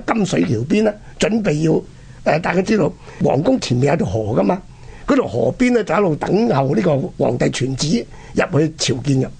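Husky, animated Hong Kong man telling history. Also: on the high side. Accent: Hong Kong Cantonese. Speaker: radio history programme presenter. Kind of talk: animated conversational history storytelling.

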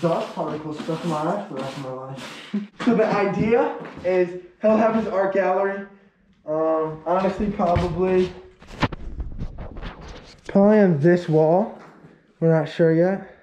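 A man's voice: high-pitched, measured pace, pauses often, roomy sound, background noise. A young man chatting casually and swearing freely while filming a barn cleanup. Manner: laid-back, swears often, laughs a lot. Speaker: vlogger. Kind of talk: casual chat. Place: large wooden barn, indoors.

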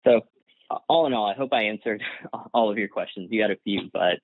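A man whose first language is Gujarati answers an interviewer in a hesitant, restarting way with a slightly low voice. He comes through a tinny, phone-like remote call line. The sound is dry and close, with no room around it. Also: fairly quick, no echo. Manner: hesitant, many restarts and fillers, laughs lightly. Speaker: guest, workplace psychologist.

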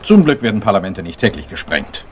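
An actor in a German crime radio play playing a courteous Scotland Yard chief inspector who questions methodically and with dry insistence. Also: male voice, fairly low pitch, very animated, brisk, a little hiss or hum. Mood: matter-of-fact probing.

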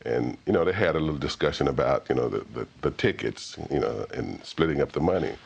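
Middle-aged man, American accent, extremely low voice, interview reminiscence, slightly dull sound. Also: expressive, fast, gravelly, slightly rough.

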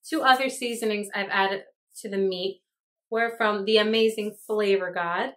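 A woman's voice: medium pitch, fairly bright tone. A young woman talking casually to her viewers as she shows how she cooks in her home kitchen, chatty and enthusiastic. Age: young adult. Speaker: cooking vlogger. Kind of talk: casual cooking demonstration. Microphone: camera on a stand, close for talking and a bit further back at the stove.

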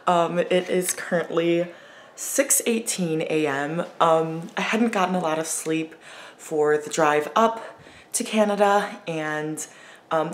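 Young woman vlogger, lowish, hoarse morning voice, casual and chatty, arm's-length camera. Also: reverberant. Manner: chatty, laughing, lots of fillers. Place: bedroom in a rented house. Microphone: camera held at arm's length.

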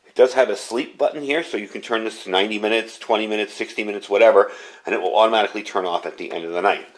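A man's voice: on the low side, rapid-fire, rough recording. A middle-aged American man giving a casual, unscripted product demonstration in a chatty, explanatory way. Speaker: product reviewer. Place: indoor room, at a tabletop.

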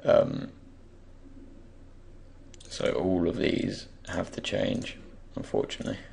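A man with a croaky, just-woken voice gives an unscripted software tutorial, hesitantly thinking aloud as he goes. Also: low-pitched, very animated, slow pace. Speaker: tutorial presenter. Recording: faint background noise, keyboard and mouse clicks.

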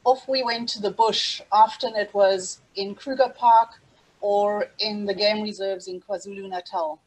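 A woman's voice: mid-range voice, lively intonation, measured pace, low-quality recording. A middle-aged woman with a South African accent, presenting a webinar over a video call in an earnest, scripted way with small restarts. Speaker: safari travel specialist hosting a webinar.

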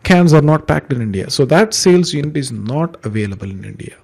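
A man giving an informal step-by-step software tutorial in a conversational, explanatory way, with a gravelly, husky voice. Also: on the high side, animated, fairly quick. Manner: explanatory, conversational, uses examples. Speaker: software trainer, instructor.

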